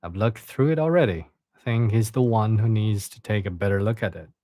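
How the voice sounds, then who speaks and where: A man's voice: fairly low pitch, a little fast, dark tone, dry recording, studio quality, lightly acted. A man voicing a terse, aloof young male god in a read-aloud story. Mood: curt. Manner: terse, pointed.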